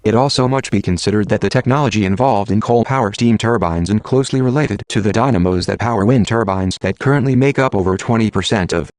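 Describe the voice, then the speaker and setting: A man voices a calm machine assistant for an audio drama. The voice is on the low side and free of room sound, with formal, matter-of-fact, measured explanations. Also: no echo, studio quality, close to an everyday voice. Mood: calm, informative.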